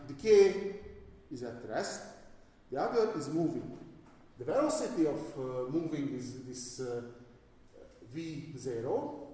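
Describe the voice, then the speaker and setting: A Hungarian man with a Hungarian accent lecturing physics in English to university students, halting with many fillers and restarts and slipping in dry jokes. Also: a little high, a little mellow. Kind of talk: live classroom lecture. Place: large lecture hall. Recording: echoey lecture hall with long reverb.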